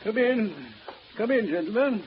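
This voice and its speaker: An ailing, composed doctor in an old radio play. He speaks formally and evasively, with expressive intonation and measured pauses, on a muffled, faintly noisy recording. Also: sits high. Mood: polite, apologetic. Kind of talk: 1940s radio acting.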